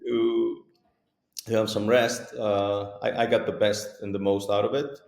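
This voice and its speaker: A man in his forties from Greece, talking as a guest on a video call. His voice sits low and has a gravelly edge. He talks in an easygoing way, with lots of fillers and the odd chuckle, and the room around him sounds echoey. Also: measured pace. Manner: relaxed, chuckling, with frequent fillers. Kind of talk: casual video-call interview.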